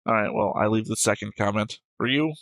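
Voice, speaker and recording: A man's voice: lowish voice, brisk, fairly bright tone, no echo. Analytical man, casual co-host, dry close sound. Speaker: co-host of anime reaction show. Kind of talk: casual discussion, reading viewer comments.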